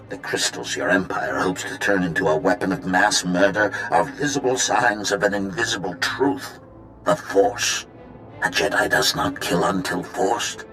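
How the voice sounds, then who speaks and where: A man's voice: lowish voice, pushed and bright, low-quality recording. A man playing a zealous, defiant Jedi master in a video game, making solemn, righteous declarations. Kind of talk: dramatic video game acting.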